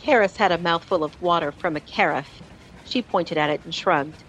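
A woman's voice: on the low side, moderate pace, a bit bright, a little hiss or hum, rough recording. A woman narrating a scripted audio drama in a descriptive storytelling manner.

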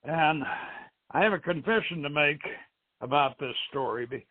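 A fairly high-voiced man presents a story on a radio show. The sound is thin and band-limited, like a low-grade internet radio stream, as he speaks in the role of host and reader. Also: unhurried, phone-quality sound. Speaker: show host and novelist reading own story. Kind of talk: radio show introduction and dramatic reading.

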